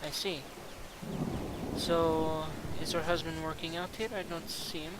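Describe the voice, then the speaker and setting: A young man with a raspy voice from a cold, chatting casually and self-deprecatingly while commenting on his gameplay. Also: on the high side, little pitch movement, unhurried, very noisy. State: has a cold. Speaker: let's play commentator.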